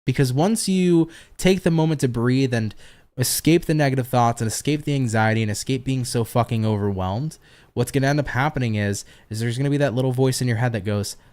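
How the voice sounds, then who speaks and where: A man's voice: medium pitch, brisk, darkish tone. A tired man reading tarot on a casual livestream, chatty and profane, laughing often and answering viewer comments. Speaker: tarot reader hosting a livestream.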